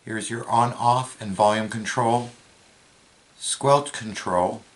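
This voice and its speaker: A man's voice: mid-range voice, fairly even intonation, slow, poor audio. A man giving a plain, matter-of-fact, step-by-step hands-on product demonstration. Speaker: product reviewer, presenter.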